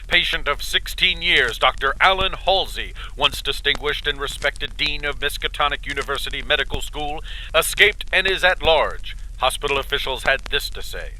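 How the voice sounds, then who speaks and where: An actor playing an urgent radio news announcer in an audio drama, formal and clipped. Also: male voice, fairly high pitch, bright, forceful tone, a little hiss or hum, rough recording. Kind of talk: radio news bulletin acting.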